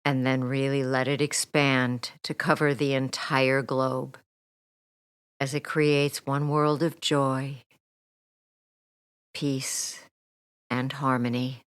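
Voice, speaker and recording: Female meditation guide, notably low register, unhurried and soothing, soft trailing phrase ends. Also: no room sound, studio quality. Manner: soothing, gentle, deliberate with long pauses. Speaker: hypnotherapist guiding a meditation. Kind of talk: guided meditation, relaxation script.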